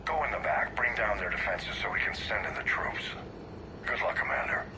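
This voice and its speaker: Game role: male actor as commanding officer, low voice, formal and grave, clear noise behind. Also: expressive, fairly quick, natural voice. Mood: urgent, commanding. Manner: formal briefing delivery. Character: grave, authoritative male military senior officer. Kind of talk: dramatic video game acting.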